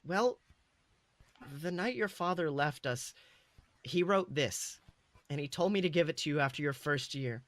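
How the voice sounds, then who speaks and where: A man's voice: fairly high pitch, unhurried, pauses often, a bit bright, no echo. A man voicing a wry, reassuring mother in a dramatic story reading. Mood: wistful.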